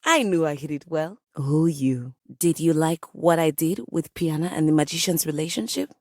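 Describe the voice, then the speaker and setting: A woman's voice: low voice, normal speed, a little mellow, dry recording, studio quality. A woman playing a proud, vain piano come to life in a video game, theatrical and grandiloquent in manner. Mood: fond, teasing. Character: proud, dramatic female living piano, vain musical virtuoso. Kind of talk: video game character acting.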